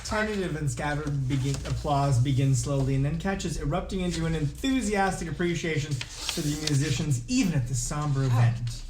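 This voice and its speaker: A man's voice: a little high, moderate pace. Man reading stage directions in an echoey room. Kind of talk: scripted narration at a table read. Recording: echoey room, distant mic, noisy.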